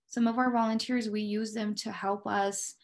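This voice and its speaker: A woman's voice: medium pitch, flat delivery, normal speed. A woman with an American accent giving candid, conversational interview answers as a police dispatcher, explaining things step by step and sometimes posing her own questions.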